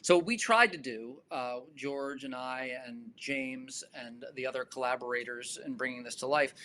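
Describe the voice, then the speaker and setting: Male director on a discussion panel, forward bright tone, measured and articulate, explanatory. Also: medium pitch, a little hiss or hum. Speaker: stage and film director.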